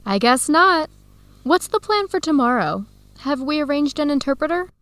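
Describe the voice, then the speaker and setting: A woman playing a warm, curious American researcher in an educational radio drama, speaking in a conversational, inquisitive way. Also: sits high, sing-song, moderate pace, dry recording.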